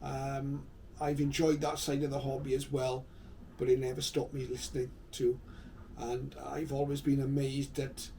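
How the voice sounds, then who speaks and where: A man in his fifties narrating his memories to viewers in an unhurried, explanatory way, as a hobbyist vlogger. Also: mid-range voice, flat delivery, warm tone. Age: 50s. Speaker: vlogger, shortwave radio hobbyist. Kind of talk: reminiscing video narration to viewers. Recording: slightly distant mic, faint background noise.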